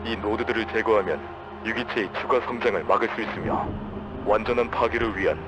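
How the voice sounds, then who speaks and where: Man voicing a businesslike briefing officer, lowish pitch, rapid-fire delivery, clinical, muffled radio sound, video game. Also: natural voice. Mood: clinical, matter-of-fact. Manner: formal, clinical, informative. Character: businesslike male mission-control officer briefing a soldier. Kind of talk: scripted mission briefing in a game. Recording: dull band-limited radio sound, music behind.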